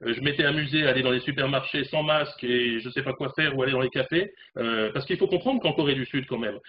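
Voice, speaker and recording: Fast-talking man on a video call. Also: medium pitch. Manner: fast, animated, talks with hands. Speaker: correspondent in South Korea. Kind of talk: remote news discussion. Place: home room, indoors. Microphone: video call webcam. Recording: dull video call audio, faint noise.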